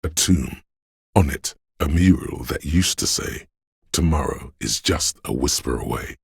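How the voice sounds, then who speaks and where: A man voicing the brooding, all-seeing spirit of a city in a video game, narrating in a poetic, ominous, hushed way with a gravelly, husky voice. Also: rumbling low, animated, a little slow, no room sound, full character voice. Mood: wistful, brooding. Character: brooding omniscient spirit of a city, an inner sense. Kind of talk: literary game narration in second person.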